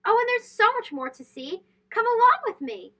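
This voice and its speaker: A woman reading a picture book aloud to young children in an expressive, sing-song, emphatic way. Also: extremely high pitch, normal speed. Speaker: children's read-aloud host. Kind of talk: animated storybook read-aloud for young children.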